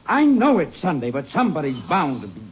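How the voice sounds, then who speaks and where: A man acting a short-tempered, heavyset rancher under suspicion in a radio western, gruff, impatient and evasive. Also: on the high side, wildly swooping pitch, brisk. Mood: impatient, harried. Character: edgy, defiant fat male rancher, short-tempered suspect. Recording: muffled, hissy old-time radio recording.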